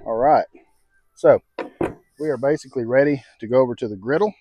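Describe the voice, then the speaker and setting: A man's voice: medium pitch, fairly quick, dark tone, highs cut off, slight background noise. Folksy American man chatting as he cooks. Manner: folksy, informal, thinking aloud. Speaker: home cooking video host. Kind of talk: casual cooking vlog chat. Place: outdoors on a home patio. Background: music from a radio.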